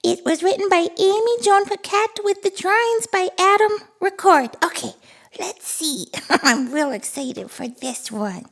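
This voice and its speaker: A woman voicing a friendly, excitable little monster who reads picture books to toddlers with gleeful wonder. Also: extremely high pitch, heavily acted. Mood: excited. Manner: gleeful, giggly, breathless wonder, drawn-out words. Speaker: children's read-aloud host. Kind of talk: animated picture-book read-aloud for toddlers.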